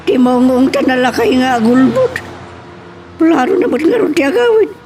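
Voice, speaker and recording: A woman's voice: high voice, sing-song, normal speed, a loud crowd. A woman playing a fretful elderly grandfather with a Filipino accent in an audio drama, drawing out exclamations. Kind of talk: amateur audio drama acting. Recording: faint background noise.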